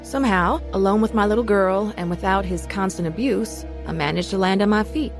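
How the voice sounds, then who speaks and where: A woman's voice: mid-range voice, brisk, close to an everyday voice. An actress playing a battered young mother with a troubled past, weary yet determined, in a radio drama. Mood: resolute. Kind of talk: radio drama narration and acting. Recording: radio drama with music behind.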